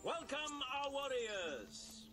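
An actor playing an officious medieval tournament official and herald in a video game, formal and declamatory. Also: male voice, very high voice, very animated, slow pace, over music, full character voice. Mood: proclaiming, grand.

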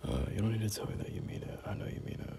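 A young man playing a teasing, bashful male friend in an intimate, casual romance audio roleplay, soft-spoken and halting. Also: close to an everyday voice. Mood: playful teasing. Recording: noticeable background noise.

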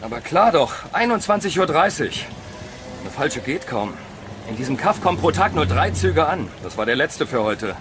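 A man's voice: fairly high pitch, normal speed, over music. An actor playing an easygoing young male traveller in a horror audio drama, breezy and reassuring. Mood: dry, casual. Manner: breezy, casual, expressive.

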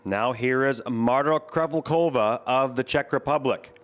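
A man giving measured play-by-play commentary on a ski jumping competition, speaking as a voice-over. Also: mid-range voice. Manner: calm, measured play-by-play, lifting on big jumps. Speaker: sports commentator.

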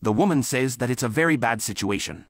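A man narrating a story in an even, matter-of-fact way, recorded as a close voice-over. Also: mid-range voice, fairly quick.

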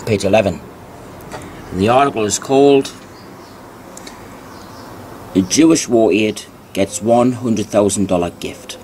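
A man narrating as he reads old newspaper articles aloud, deliberately, repeating key phrases. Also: lowish voice, darkish tone, slight background noise. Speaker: video narrator.

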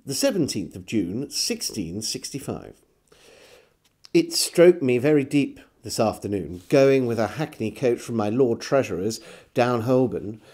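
A man in his forties reads literary prose aloud at an unhurried pace. His voice is mellow, and the pitch moves freely as he gives each phrase theatrical weight and deliberate pauses. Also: animated, slightly rough. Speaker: storyteller reading aloud. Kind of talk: expressive literary diary reading. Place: small home room with bookshelves.

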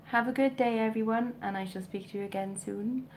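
A woman's voice: a little high, fairly even intonation, brisk, a little mellow, slight background noise, low-quality recording. Chatty woman with a mild South Welsh accent. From South Wales. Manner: chatty, self-mocking, jokey asides. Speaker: vintage clothing reseller, livestream host. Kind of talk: casual live stream chat reading comments. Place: home bedroom with shelves, indoors. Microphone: webcam or phone close up.

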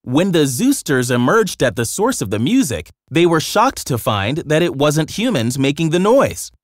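A storybook narrator reading a scripted children's tale in an expressive, dramatic way. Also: mid-range voice, normal speed, no echo, studio quality.

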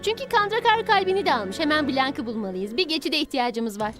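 Urgent animated girl leader: fast talker, very high register, decisive, music behind. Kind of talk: dubbed cartoon acting.

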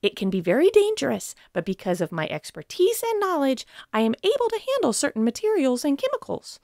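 A woman's voice: high-pitched, wildly swooping pitch, fairly quick, creaky, a little mellow, no echo, clean studio sound, exaggerated voice. A woman playing an eccentric, reckless science teacher in a sitcom, theatrical and exaggerated. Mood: cheery, showy. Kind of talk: sitcom acting.

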